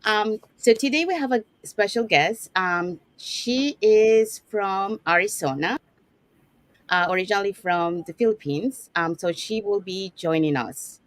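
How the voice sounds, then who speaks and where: A woman's voice: medium pitch, measured pace, no room sound. A woman from Panama hosting an online business talk show, introducing people with frequent "um" fillers. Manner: many "um" fillers, friendly introductions. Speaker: host.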